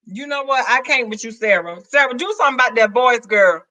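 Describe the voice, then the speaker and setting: Brief: Southern woman live stream host, sassy and teasing, high bright voice. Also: animated, fairly quick, dry recording. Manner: sassy, teasing, calls others "girl" and "child". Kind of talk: casual banter on a live stream.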